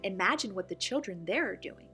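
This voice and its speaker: A woman reading a picture book aloud for young children, with expressive, clearly phrased delivery as the host. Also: medium pitch, a little fast, faint music behind. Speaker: children's story reader and host.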